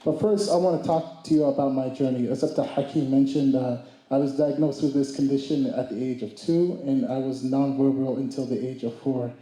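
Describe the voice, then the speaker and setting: A man's voice: medium pitch, steady tone, a little fast, warm tone. Young man speaking in a roomy hall. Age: 20s. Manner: hesitant, many restarts and fillers; mixes Somali phrases into English. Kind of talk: summit speech. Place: event hall.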